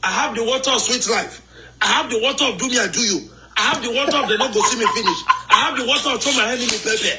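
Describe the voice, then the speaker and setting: A man's voice: very high voice, rapid-fire, background noise. A Nigerian man calling into a live chat, boastful and joking in Nigerian Pidgin. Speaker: caller.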